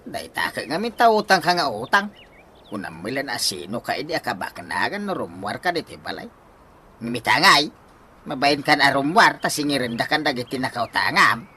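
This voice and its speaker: Sharp, swooping, scolding Filipino husband played by a man. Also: mid-range voice, very animated, moderate pace, a little hiss or hum. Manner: nagging, emphatic. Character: exasperated, scolding husband of a debtor wife. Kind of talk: comedy radio drama acting.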